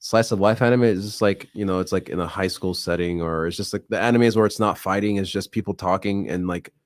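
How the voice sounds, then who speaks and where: A man's voice: sits low, steady tone, brisk, no echo, clean studio sound. A young man co-hosting a podcast, talking bluntly and laid-back in casual chat. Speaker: podcast co-host.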